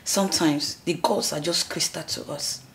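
A woman's voice: low voice, normal speed, vocal fry, a bit bright, slightly rough, full character voice. An actress playing a defiant, outspoken woman in a palace.

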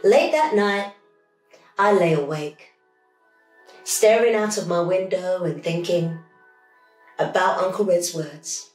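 A middle-aged woman reading a children's story aloud in an expressive, dramatic storytelling style. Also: fairly low pitch, slow, pauses often. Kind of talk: animated read-aloud storytelling. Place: small home room, indoors. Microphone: camera a few feet away. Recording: echoey room with music underneath.

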